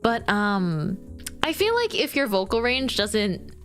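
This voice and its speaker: A young woman chats at a slow, unhurried pace on a live stream, talking to viewers and drifting between topics. She rambles with fillers and giggles often. Faint music plays behind her. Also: mid-range voice, big pitch swings. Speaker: streamer chatting with chat while drawing. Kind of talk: casual live-stream chat.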